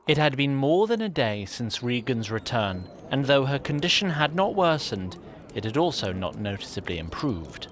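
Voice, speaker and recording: A man's voice: mid-range voice, slight background noise. A man narrating a scripted fantasy story in a dramatic, wry and literary manner. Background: music.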